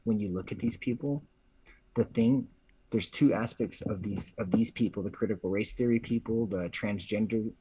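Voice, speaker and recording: A man with a husky voice chats casually as a co-host, slangy and profane, repeating phrases for emphasis. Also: on the low side, normal speed, mellow, no room sound, rough recording. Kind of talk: casual opinionated chat.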